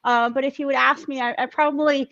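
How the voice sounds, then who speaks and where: A woman's voice: high-pitched, fairly even intonation, fast talker, fairly bright tone, no echo, muffled recording. A woman from the Netherlands who grew up partly in South America, speaking conversationally as an interview guest. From Netherlands and South America, mostly Colombia. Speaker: interview guest, corporate global mobility manager. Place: at home, on an online call.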